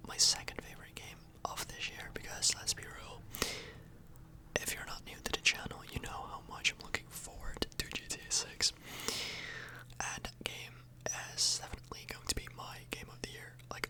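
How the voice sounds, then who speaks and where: Woman, whispered voice, soft and breathy, casual ASMR ramble, hesitant, self-correcting. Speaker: ASMR YouTuber, host. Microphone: microphone a little further away than usual.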